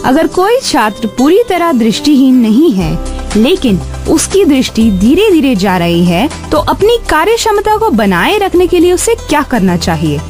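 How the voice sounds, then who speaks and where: A woman's voice: sits high, very animated, measured pace, darkish tone. A woman with an Indian accent co-hosting a radio show in a scripted presenting style. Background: background music.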